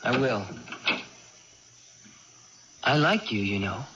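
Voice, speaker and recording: An actor playing a devoted young brother in a 1980s radio drama, coaxing tenderly and explaining things simply and patiently. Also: male voice, mid-range voice, lively intonation, slow, fairly bright tone. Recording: muffled old radio broadcast, faint noise.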